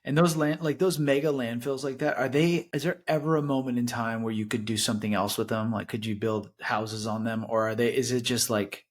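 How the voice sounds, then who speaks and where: A man's voice: mid-range voice, fairly even intonation, fairly quick, few pauses. A man hosting an interview podcast, asking questions casually with lots of "like" fillers. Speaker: podcast host. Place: Los Angeles.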